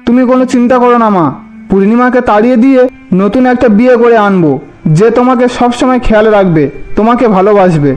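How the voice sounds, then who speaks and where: A man's voice: very high-pitched, quick pace, darkish tone, faint music behind. A man voicing a hot-headed, indignant young husband devoted to his mother in a fairy-tale cartoon. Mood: furious. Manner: indignant, threatening.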